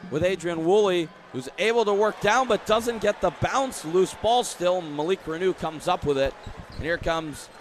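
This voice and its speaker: High-voiced young American man calling live sports. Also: slight background noise. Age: young adult. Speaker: play-by-play sports announcer. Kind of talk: live radio baseball and basketball play-by-play.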